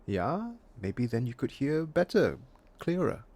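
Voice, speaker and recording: An actor playing a reticent, intense musician in an audio drama, speaking with a husky voice in a halting, poetic, introspective way. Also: male voice, mid-range voice, big pitch swings, a little mellow. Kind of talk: naturalistic audio drama dialogue and lyrical monologue.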